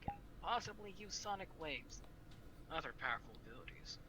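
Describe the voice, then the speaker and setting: Higher-voiced man over noisy game chat. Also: very animated, brisk. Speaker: player in an online role-play game. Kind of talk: casual improvised in-character role-play chat.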